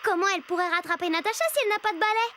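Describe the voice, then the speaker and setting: A woman playing a small, excitable cartoon narrator sidekick, dramatic and exclamatory. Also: extremely high pitch, racing, very noisy. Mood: excited. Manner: exclamatory, addressing the listener. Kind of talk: cartoon voice acting.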